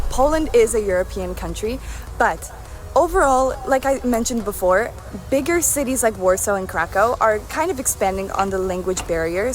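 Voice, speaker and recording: Earnest American woman counting down tips. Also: medium pitch. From United States. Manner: earnest, repeats "definitely" often, scripted list. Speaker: video presenter. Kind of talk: explaining a countdown list.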